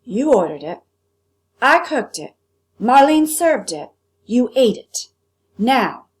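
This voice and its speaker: A woman voicing a big, angry male diner owner in a storybook, with forceful, clipped demands. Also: a little high, very animated, slow, pitched above the performer's own voice. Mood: angry, demanding.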